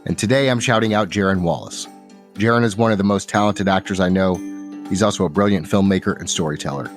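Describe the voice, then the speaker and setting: Male dungeon master, low voice, upbeat announcer delivery, storytelling recap, clear music bed. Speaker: dungeon master and show host. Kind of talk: podcast intro and recap narration.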